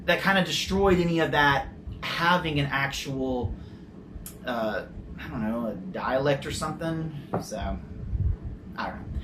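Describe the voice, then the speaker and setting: A talkative man hosting a casual soda review, his voice sitting at an everyday middle pitch. He wanders off on tangents and drops in the odd swear word. Faint noise hums underneath. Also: a little slow, long pauses. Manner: chatty, rambling, mild swearing.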